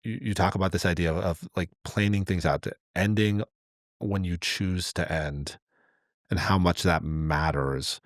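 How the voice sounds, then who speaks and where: A man's voice: deep voice, dry recording, clean studio sound. A man co-hosting a podcast in casual, reflective chat, earnest and often repeating his words as he goes. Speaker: podcast co-host.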